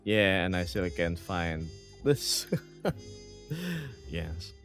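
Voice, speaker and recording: A man's voice: deep voice, steady tone, very slow, a bit bright, faint music behind. A man in his thirties streaming from home, chatting casually and reading chat messages aloud with frequent laughs.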